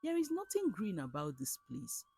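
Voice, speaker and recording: A woman's voice: fairly low pitch, wildly swooping pitch, moderate pace, dark tone, breathy edge, soft background music, voice pushed up, full character voice. A woman with a Nigerian accent voicing a desperate, naive male shop owner in a folktale, plaintive and earnest. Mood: despondent lament. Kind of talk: storybook folktale character.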